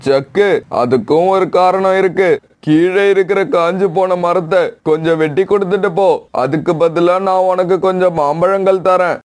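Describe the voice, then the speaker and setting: A man's voice: high voice, fairly even intonation, moderate pace, natural voice. A man voicing a kindly old pigeon who owns an orchard, in a children's cartoon story. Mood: weary, requesting. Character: old male pigeon orchard owner, kindly, asking for help.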